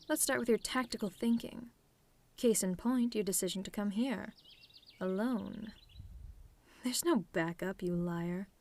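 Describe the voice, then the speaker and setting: Woman doing a telepath villainess for asmr roleplay, snarky and menacing w a soft side, draws words out, theatrical, mid pitch. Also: natural voice. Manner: sardonic, theatrical, drawn-out deliberate phrasing. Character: mocking telepathic villainess, menacing but softening to tender. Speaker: audio roleplay performer.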